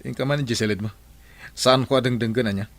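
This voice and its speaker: A man's voice: mid-range voice, fairly even intonation, fast, close to an everyday voice. A man with an Ilocano accent playing a bossy, testy employer and uncle in a radio drama.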